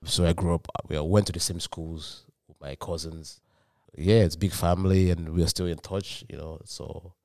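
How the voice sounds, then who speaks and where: A young man from Nigeria, a student guest on a podcast, answering questions in a halting way and often repeating his words. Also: sits low, steady tone, a little mellow, no room sound, clean studio sound. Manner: halting, repeats words while searching for them. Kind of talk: casual interview answers.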